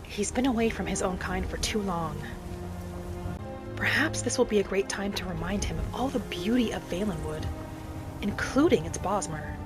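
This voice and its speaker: A woman's voice: medium pitch, close to an everyday voice. Reflective female wood elf played by a woman, music behind. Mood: musing. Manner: musing aloud, measured, speaking in short reflective phrases. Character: gentle female wood elf wanderer, reflective and matter-of-fact. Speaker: roleplay gamer narrating in character. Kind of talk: in-character game roleplay monologue. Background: background music.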